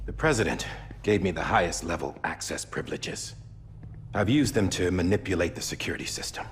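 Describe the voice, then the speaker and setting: An actor playing a loyal, troubled soldier torn between duty and friendship, earnest, hushed and halting, in a cinematic video game. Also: male voice, sits low, a little hiss or hum. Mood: urgent, hushed.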